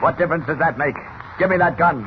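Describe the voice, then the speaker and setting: An American actor playing a mighty, fearless superhero in 1940s radio style, commanding, scornful and declamatory. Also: male voice, on the high side, quick pace, lightly acted. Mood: stern, triumphant. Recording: noisy, muffled old radio serial recording.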